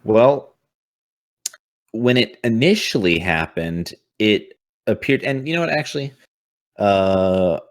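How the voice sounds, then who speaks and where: A man running a tabletop game as game master, improvising narration in a casual, halting way, thinking aloud and swearing freely. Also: low voice.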